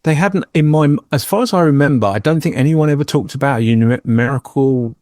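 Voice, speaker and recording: A man's voice: medium pitch, fairly quick, darkish tone, no room sound, clean studio sound. A man in his fifties from England, talking on a podcast and telling personal stories with a joking, anecdotal touch. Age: 50s. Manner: jokey, anecdotal storyteller. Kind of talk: casual chat, personal storytelling.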